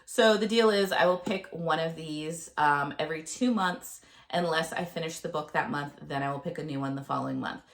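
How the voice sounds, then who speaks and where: A woman in her thirties chats to camera about her reading plans, sounding a little nasal and gravelly from a cold. Her voice sits on the low side, and she talks loosely, with plenty of ums and uhs. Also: normal speed, hardly any pauses. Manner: chatty, with frequent ums and uhs. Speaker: book vlogger. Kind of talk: casual chat to camera.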